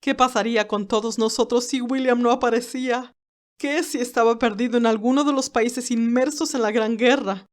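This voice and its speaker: Woman playing a haughty elderly aristocratic great-aunt, higher-pitched. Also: steady tone, moderate pace, natural voice. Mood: anguished, worried. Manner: formal, dramatic, disdainful storytelling. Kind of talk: first-person dramatized narration.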